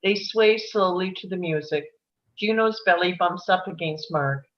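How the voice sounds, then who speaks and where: A woman's voice: fairly low pitch, moderate pace, lo-fi sound. A woman reading stage directions as scripted narration at a live table read.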